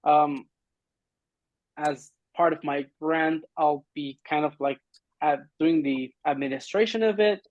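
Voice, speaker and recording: A man's voice: a little high, no room sound. A middle-aged man speaks informally on a video call. He feels his way through an update, hedging and rephrasing as he goes. The sound is thin and phone-like, with very little room in it. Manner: halting, hedging, loosely phrased. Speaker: grant-funded working group administrator. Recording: muffled video-call audio.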